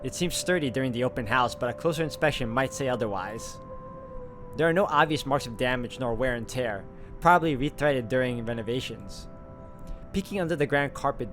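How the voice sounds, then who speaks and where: A man reading a visual novel's text aloud with casual asides, steady and plain. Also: medium pitch. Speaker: gameplay narrator. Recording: voice over loud game music.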